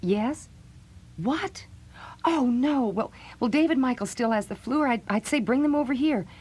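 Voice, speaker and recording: A woman's voice: high-pitched, expressive, moderate pace, darkish tone, breathy edge. An actress playing a flustered mother talking on the telephone in a children's audio drama.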